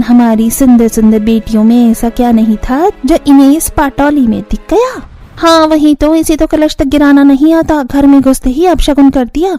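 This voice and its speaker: A woman voicing a jealous, gossiping neighbour from a family cartoon. Her high voice is sneering and catty, with faint music underneath. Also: dark tone, lightly acted. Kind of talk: cartoon family story dialogue.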